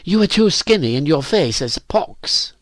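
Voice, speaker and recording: Man doing a haughty, high-voiced young woman. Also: very animated, measured pace, dry recording. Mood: scornful. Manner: haughty, jeering. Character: proud, scornful, hot-tempered young slave woman.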